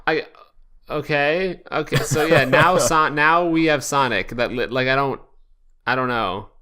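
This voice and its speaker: A man hosting a podcast, reading fan fiction aloud in a jokey, deliberate way with frequent asides. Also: medium pitch, bright, forceful tone, clean tone. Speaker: podcast host.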